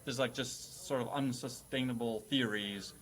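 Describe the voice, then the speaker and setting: A man's voice: medium pitch, fairly even intonation, normal speed, fairly bright tone. A man hosting a casual comedic quiz as a teacher, explaining things in a nerdy, wordy, playful way. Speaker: quizmaster host playing a teacher.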